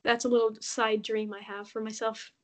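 Chatty woman with a slightly high voice. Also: normal speed. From Mexico. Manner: relaxed, chatty, frequent ums and laughs. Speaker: podcast guest. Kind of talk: casual interview conversation.

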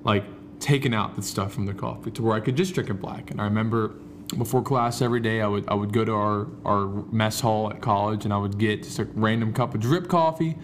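A man's voice: on the low side, fairly quick. A young American man from the D.C. area co-hosting a podcast in a casual, playful, slangy way. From Washington, D.C. area, United States. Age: young adult. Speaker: podcast co-host and barista. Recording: faint background noise.

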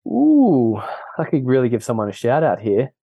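A man with an Australian accent, chatting casually as a podcast guest, relaxed and often laughing. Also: mid-range voice, moderate pace, gravelly, slightly rough, no room sound. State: injured foot, on painkillers. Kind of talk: casual interview chat.

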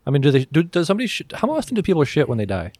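A man's voice: fairly high pitch, wildly swooping pitch, very fast, a little mellow, no room sound, clean studio sound. A man hosting a podcast in casual banter, joking crudely and swearing freely. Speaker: podcast host.

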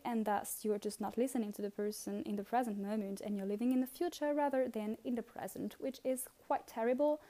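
Chatty French-accented woman, fairly high voice. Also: mellow. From France. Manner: chatty, repeats words, frequent um and uh fillers, light laughs. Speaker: podcast host. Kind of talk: casual solo podcast advice.

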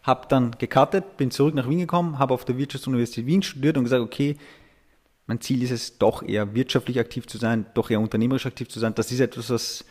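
A man's voice: medium pitch, fairly even intonation, fast talker, darkish tone. A man, speaking as an interview guest, hesitant, with many filler sounds and easy laughs. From Austria. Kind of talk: casual interview talk.

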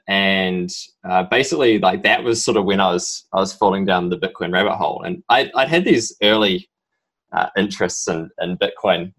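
A young man from New Zealand with a strong New Zealand accent, a podcast guest telling his story in a halting, rambling way full of ums. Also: sits low, fairly bright tone, husky. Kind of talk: casual interview talk.

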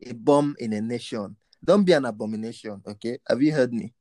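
A man's voice: medium pitch, a little fast, darkish tone, dry recording. Casting note: man, teasing co-host, mid-range voice, dry close mic. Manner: playful teasing, mixes English and Igbo. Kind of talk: casual banter.

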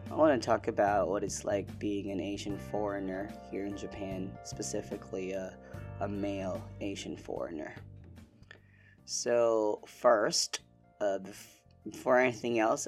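A young man from the Philippines hosting a casual solo podcast, relaxed and rambling with lots of fillers. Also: fairly low pitch, a little slow, soft background music. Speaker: podcast host.

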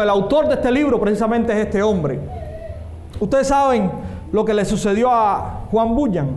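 High-voiced pastor in echoey room. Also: male voice, darkish tone. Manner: emphatic, asks the congregation questions. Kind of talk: sermon. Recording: echoey room with faint noise.

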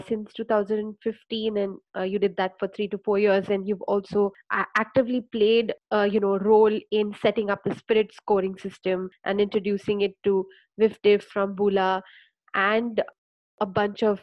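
A woman co-hosting an interview, asking long questions full of fillers and pauses. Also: medium pitch, flat delivery, dry recording, highs cut off. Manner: hesitant questioner with many fillers. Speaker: co-host. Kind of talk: casual interview over a call.

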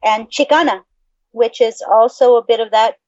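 A Mexican American woman from the Texas border co-hosting a casual podcast, excitable, laughing easily and swearing freely. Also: fairly high pitch, normal speed, no room sound, muffled recording. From Texas border region, USA. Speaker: podcast co-host. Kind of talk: casual chat.

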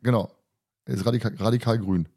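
A man's voice: fairly low pitch, steady tone, fast talker, gravelly, mellow, husky. A man co-hosting a podcast in casual discussion, chiming in and building on points. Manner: interjecting, building on the other speaker's points. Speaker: podcast co-host. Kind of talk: casual discussion of an audio drama.

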